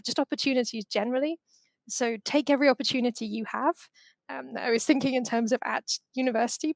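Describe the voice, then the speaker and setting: A woman's voice: on the high side, creaky, dry recording. A middle-aged woman giving informal, explanatory interview answers on a headset. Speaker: university representative, guest. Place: indoors, video call.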